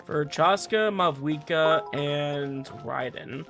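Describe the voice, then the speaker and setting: A man's voice: a little high, slow pace, vocal fry, a bit bright, soft background music. A man doing casual livestream game commentary, chatty, repeating words and trailing off. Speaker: game streamer.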